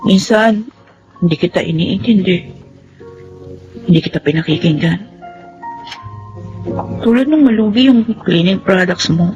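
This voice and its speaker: A woman's voice: mid-range voice, a little mellow, close to an everyday voice. Radio drama wife, female actor: forgiving, devoted, dull recording over prominent music. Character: forgiving, devoted wife admitting her own faults. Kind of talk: Tagalog radio drama acting.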